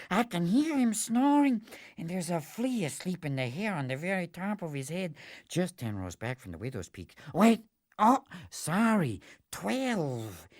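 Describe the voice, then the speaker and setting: A man's voice: sits high, no room sound, clean studio sound, pitched above the performer's own voice. A man voicing a keen-eared, earnest storybook hero with a fussy, self-correcting manner. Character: keen-eared, earnest folktale hero reporting tiny sounds.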